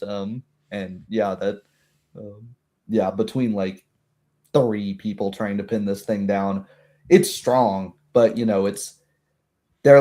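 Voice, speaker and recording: A man's voice: on the low side, mellow. Rambling man explaining a tabletop game. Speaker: game master. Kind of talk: casual post-game discussion on a stream.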